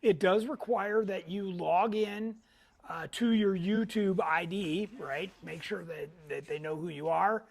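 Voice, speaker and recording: A middle-aged man hosting a weekly live tech show in a casual way, hoarse, clearing his throat often and pausing on fillers. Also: sits high.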